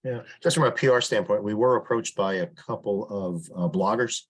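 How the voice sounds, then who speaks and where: A man's voice: fairly low pitch, normal speed, slightly rough. A man talking casually in an online meeting about practical matters. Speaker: public relations volunteer. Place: online conference call.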